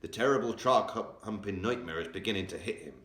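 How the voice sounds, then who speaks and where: A man voicing a kindly big male giant who talks in a hushed way with odd, mangled grammar. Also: on the low side, a little fast, natural voice. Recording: roomy echo, distant mic.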